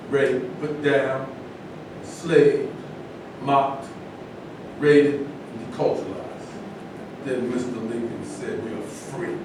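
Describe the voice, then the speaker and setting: A man acting an embittered militant, echoing and distant. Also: mid-range voice. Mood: indignant. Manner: emphatic, lecturing. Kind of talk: staged play reading. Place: large indoor room at a live staged reading, distant mic. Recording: very echoey, noisy room from far off.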